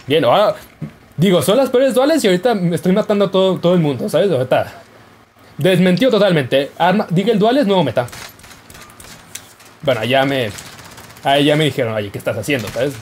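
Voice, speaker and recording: A man's voice: on the high side, expressive, fairly quick, pauses often, darkish tone, slight background noise. A young man giving casual, joking commentary over his gameplay. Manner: playful, jokey, yelps and laughs while playing. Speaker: gaming YouTuber.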